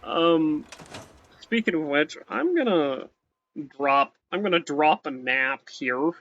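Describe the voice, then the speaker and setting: A man's voice: on the high side, expressive, slow, fairly bright tone. A man giving rambling, casual commentary while playing a game, often tacking on "right?" and restarting his sentences. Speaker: let's play host. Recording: muffled with faint background hiss.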